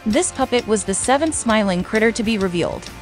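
A woman's voice: medium pitch, animated, fairly quick, music in the background. A woman reading scripted narration as the presenter of a quiz.

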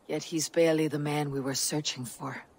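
Restrained, earnest Viking warrior. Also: female voice, low-pitched, fairly even intonation, moderate pace, darkish tone, husky. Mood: frustrated. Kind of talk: dramatic video game acting.

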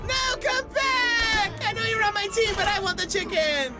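A young man giving casual let's play commentary in an excitable, self-deprecating way, often exclaiming. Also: extremely high pitch, normal speed, over a noisy crowd. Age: young adult. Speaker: voice actor and gaming video host. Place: small indoor room. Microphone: desk microphone close by, with headset worn. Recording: game music behind the voice.

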